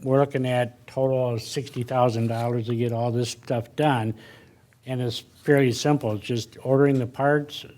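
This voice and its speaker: A man's voice: mid-range voice, little pitch movement, moderate pace, a little mellow. Older man speaking plainly at a meeting. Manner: plain-spoken, thinks aloud with fillers. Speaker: parks commissioner. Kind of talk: public meeting discussion. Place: city council chamber. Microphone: desk microphone.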